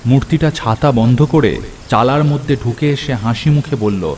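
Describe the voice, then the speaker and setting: A man playing an earnest, excitable friend who tells a cartoon horror story in the first person, in an expressive, theatrical way. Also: mid-range voice, normal speed, a little hiss or hum, close to an everyday voice. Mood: atmospheric, pensive. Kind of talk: dramatic scripted first-person horror narration. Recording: clear rain and thunder over voice. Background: rain and thunder effects.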